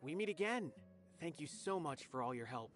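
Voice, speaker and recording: A man's voice: a little high, lively intonation, normal speed, close to an everyday voice. A man playing a dutiful, earnest officer in a video game, reporting politely in articulate, orderly speech. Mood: earnest, relieved. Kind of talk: scripted video game acting. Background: music.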